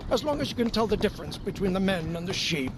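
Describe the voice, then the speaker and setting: A man playing a loyal, older noble uncle in an audio game, speaking in a measured, dryly honest, reassuring way. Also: sits high, very animated, background noise. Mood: gentle, dry. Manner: measured, reassuring, dry humour. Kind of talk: audio game drama acting. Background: horse hooves and outdoor ambience.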